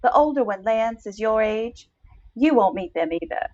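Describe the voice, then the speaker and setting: A woman plays a mysterious, worldly older lover who teases her young man without a care. Her pitch is animated and swings freely, in a story reading with slightly dull, lo-fi sound. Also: normal speed, natural voice. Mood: coolly amused. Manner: teasing, unbothered. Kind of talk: dramatic short story reading.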